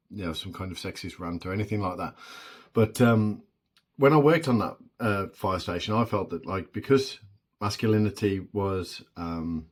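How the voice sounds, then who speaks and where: A man in his forties with a deep voice, talking alone in a halting, reflective way with many pauses and restarts. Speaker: vlogger. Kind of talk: solo reflective monologue.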